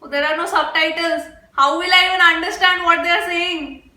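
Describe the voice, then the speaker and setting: A woman's voice: very high voice, steady tone, normal speed, bright, forceful tone. A young woman with an Indian English accent hosting a casual music reaction vlog, gushing and exclamatory, chatting with fillers. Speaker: reaction video host. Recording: echoey room with faint background music.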